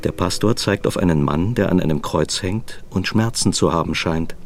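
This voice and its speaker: A man's voice: deep voice, moderate pace, slight background noise. A man narrating a scripted radio play as its storyteller.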